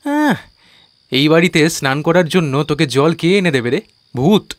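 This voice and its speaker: A man's voice: fairly high pitch, expressive, a little slow, no room sound. An actor playing a cocky, scoffing young man who leads his friends in an audio ghost story. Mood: sarcastic, casual. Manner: mocking, colloquial. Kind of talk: audio drama dialogue in a Bengali ghost story.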